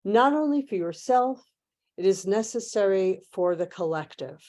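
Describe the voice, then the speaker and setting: A woman leading a guided meditation in measured, hushed phrases with long pauses, like a spiritual transmission. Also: medium pitch, lively intonation.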